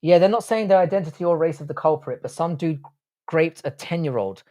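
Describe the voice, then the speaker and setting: A man's voice: fairly high pitch, fairly quick, warm tone. Blunt, crude man chatting live. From Ireland, grew up in the UK. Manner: blunt, jokey, crude banter. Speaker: livestream host reading chat. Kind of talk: casual live chat.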